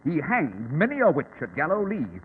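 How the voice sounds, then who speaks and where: An actor playing a keen, commanding gentleman detective in a 1940s radio drama, with an incisive, theatrical and precise delivery. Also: a little high, huge pitch swings, moderate pace. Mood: grave, intrigued. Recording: tinny, noisy old radio recording.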